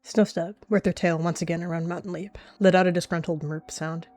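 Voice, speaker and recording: A woman's voice: lowish voice, fairly even intonation, brisk, a little mellow. A woman narrating a cat roleplay story and speaking her character's lines in quotes.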